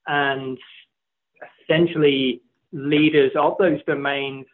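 A man's voice: a little high, fairly even intonation, slow pace. Middle-aged man on a tinny phone line. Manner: rambling, repeats words, laughs often. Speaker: company co-founder, guest. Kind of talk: casual interview talk.

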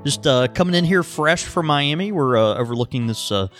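Rambling animated man co-hosting a podcast. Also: a little high, a little fast, faint music behind. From Southern United States. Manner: rambling, many restarts and fillers. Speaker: podcast co-host. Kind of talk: casual podcast banter.

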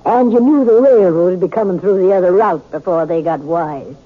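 A woman playing a shrewd, plainspoken older frontier woman with a husky voice in a 1950s radio western. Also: fairly low pitch, fairly quick, lightly acted. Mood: knowing, kindly. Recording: tinny, muffled vintage radio recording.

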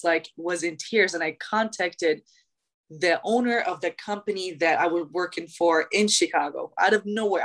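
A young adult woman from Iraq, a guest in an interview, telling her story in a chatty, animated way with many fillers and restarts. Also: fairly low pitch.

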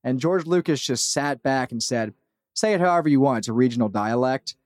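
A man's voice: mid-range voice, animated, fairly quick, dry recording. A man being interviewed as a podcast creator, talking casually and self-deprecatingly, often quoting people as he goes. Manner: informal, self-deprecating, quotes people as he talks.

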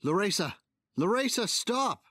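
A man playing a caring, gently wise young older brother in an audio drama. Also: sits high, very animated, measured pace, slightly rough, heavily acted. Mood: alarmed.

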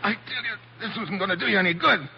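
An actor playing a tough, wisecracking American special agent in a hard-boiled 1940s radio adventure. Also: male voice, high-pitched, quick pace, vocal fry. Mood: tense, defiant. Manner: wry, hard-boiled, casual. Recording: dull, noisy old radio recording.

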